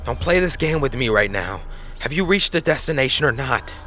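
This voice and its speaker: A man's voice: medium pitch, expressive, fairly quick, bright, forceful tone, pitched above the performer's own voice. Man playing stern, tense emergency dispatcher, faint noise. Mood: angry. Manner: stern, urgent, reassuring. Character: female emergency dispatcher Kara, stern, anxious, caring.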